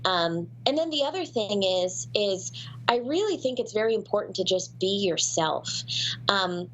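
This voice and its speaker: A woman's voice: medium pitch, very animated, measured pace, muffled recording, a little hiss or hum. A woman in her thirties, a voice actress, chatting in an interview with many fillers and restarts. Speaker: voice actress guest. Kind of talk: casual interview storytelling.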